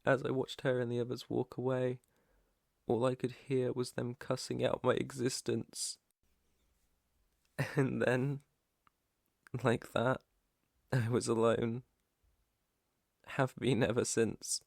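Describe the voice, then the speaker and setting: A British man playing an earnest, protective alpha werewolf in an ASMR roleplay, with a hesitant, stammering, soft-hearted way of talking and a creaky voice. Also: medium pitch, level tone, takes it slowly, long pauses, dry recording, studio quality. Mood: sad, wounded. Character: protective young male alpha werewolf, earnest, shy outcast.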